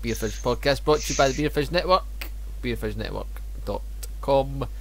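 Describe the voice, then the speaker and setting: A man's voice: mid-range voice, moderate pace. Man hosting a podcast. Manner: reads sponsor intro then banters, laughs often. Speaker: podcast host. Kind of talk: casual chat.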